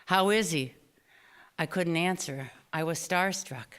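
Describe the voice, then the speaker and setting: Low, clear-voiced woman reading a memoir. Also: unhurried, fairly bright tone. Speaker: author reading own personal essay. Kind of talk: scripted memoir reading at a live storytelling event. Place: small theater stage, live reading before an audience.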